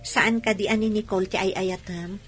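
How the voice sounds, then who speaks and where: A woman's voice: medium pitch, steady tone, moderate pace, music in the background, close to an everyday voice. A woman acting a questioning, coaxing young woman in love in a radio drama, with a Filipino accent.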